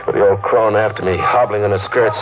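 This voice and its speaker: An actor as a hunted hard-boiled narrator. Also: male voice, on the low side, steady tone, fast talker, close to an everyday voice. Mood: tense. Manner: tense, breathless noir narration. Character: hunted man on the run, frantic and hard-boiled. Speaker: narrator and lead. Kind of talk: 1940s radio acting. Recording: tinny old radio recording with loud music.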